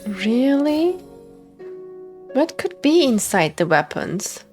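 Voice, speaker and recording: A woman's voice: a little high, very animated, takes it slowly, darkish tone, soft background music. A woman streaming a game with casual, joking commentary and reactions.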